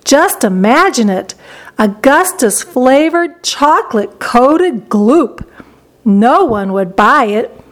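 An eccentric gentleman chocolate maker from a storybook , played by a woman reader, pitched on the high side. He is blithe and mischievous, with a brisk, theatrical way of talking. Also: big pitch swings, heavily acted. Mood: amused, reassuring.

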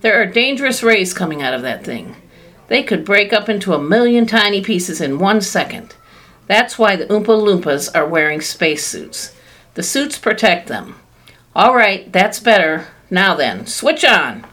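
A woman reading aloud, voicing an excitable, eccentric male chocolate maker who gives loud, commanding exclamations. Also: lowish voice, normal speed. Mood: brisk showman.

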